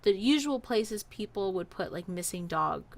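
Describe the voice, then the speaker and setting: A woman telling a personal story in a casual, animated, chatty way, acting out bits of dialogue as she goes. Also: mid-range voice, moderate pace, vocal fry, no room sound.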